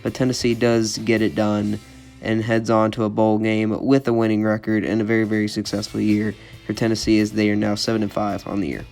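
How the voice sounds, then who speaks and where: Flat-voiced congested young man. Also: fairly low pitch, brisk, gravelly, faint music behind. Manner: monotone, opinionated, repeats "I think". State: congested from a cold. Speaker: sports channel host. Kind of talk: college football schedule predictions.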